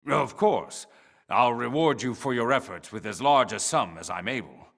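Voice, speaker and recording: A man playing a middle-aged director general in a video game, speaking in a measured, formal, authoritative way. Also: medium pitch, lively intonation, gravelly, fairly bright tone. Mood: formal, pleased. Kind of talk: video game cutscene acting.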